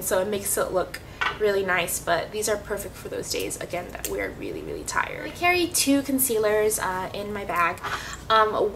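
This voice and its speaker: A woman's voice: mid-range voice, fairly bright tone. Sniffly woman doing a makeup vlog, lots of ums, mic a bit far off. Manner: chatty, many ums and restarts. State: sniffly, coming down with a cold. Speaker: beauty vlogger. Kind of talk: casual makeup tutorial. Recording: slightly distant mic, faint hiss.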